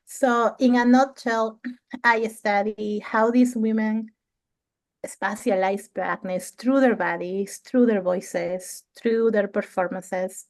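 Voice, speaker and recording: A woman's voice: medium pitch, no room sound. Casting: woman from Peru, 30s, thoughtful interview guest, dead-dry sound. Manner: thoughtful, laughs between phrases, clears throat. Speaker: guest researcher. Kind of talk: conversational interview answer.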